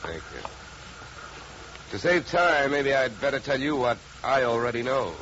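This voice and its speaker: An actor playing a wry, hard-boiled insurance investigator in a 1950s American radio drama, narrating and talking in a dry, clipped, wisecracking way. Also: male voice, medium pitch, animated, measured pace, a bit bright, slight background noise. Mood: cool, probing. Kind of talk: 1950s radio detective drama, first-person narration and dialogue. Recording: dull, lo-fi 1950s radio recording.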